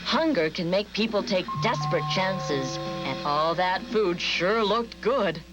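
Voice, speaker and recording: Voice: mid-range voice, lively intonation, slow, rough recording. A narrator telling a fairy tale in a scripted storytelling style. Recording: music behind the voice.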